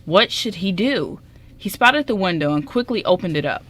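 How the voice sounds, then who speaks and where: A woman's voice: on the low side, lively intonation, normal speed, fairly bright tone, slightly rough. A woman reading scripted story narration as the narrator of a radio drama.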